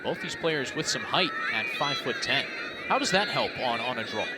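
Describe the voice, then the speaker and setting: Animated American male play-by-play man, loud crowd. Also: mid-range voice, moderate pace. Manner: animated, rises with the action. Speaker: play-by-play sports commentator. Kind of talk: live TV sports play-by-play commentary. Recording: loud crowd and stadium noise behind.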